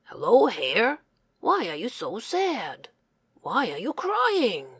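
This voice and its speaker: A woman voicing a bold, well-meaning male wolf in an emphatic, deliberate way. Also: fairly high pitch, huge pitch swings, fairly bright tone, husky, no echo, studio quality, heavily acted. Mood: concerned.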